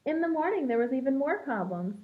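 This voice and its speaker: A woman with a fairly high voice reads a picture book aloud like a preschool teacher. Her delivery is expressive and shaped for young children. The recording sounds slightly dull and lo-fi. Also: a little fast.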